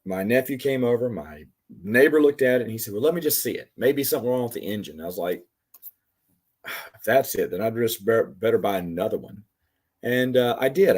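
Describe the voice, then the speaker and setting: Host, male, 40s, voice going hoarse, rambling and chatty, mid-pitched. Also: darkish tone. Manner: rambling, chatty, talks to commenters by name. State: losing his voice. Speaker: livestream host. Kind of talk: casual live Q&A chat.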